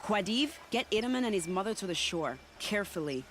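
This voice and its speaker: A woman's voice: mid-range voice, expressive, brisk, noisy background, lightly acted. An actress playing a witty, haughty, flirtatious noblewoman in a video game, with an arch, ironic drawl. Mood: tense but flippant. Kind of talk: video game dramatic acting. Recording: music behind the voice.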